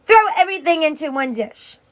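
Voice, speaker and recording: A woman's voice: high-pitched, big pitch swings, brisk, fairly bright tone, pitched above the performer's own voice. A woman voicing an eager, mischievous and put-upon little sister in a storybook, plaintive and scheming.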